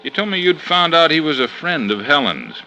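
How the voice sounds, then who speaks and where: An actor playing a brisk, matter-of-fact male homicide lieutenant in a 1950s radio drama. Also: fairly high pitch, big pitch swings, bright, forceful tone, slight background noise, exaggerated voice, low-quality recording. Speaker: police lieutenant.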